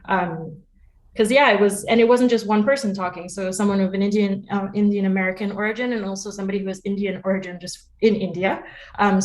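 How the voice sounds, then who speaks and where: A woman talking casually as an interview guest about her work as a producer, chatty and quick to laugh. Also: medium pitch. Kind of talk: casual, animated interview chat.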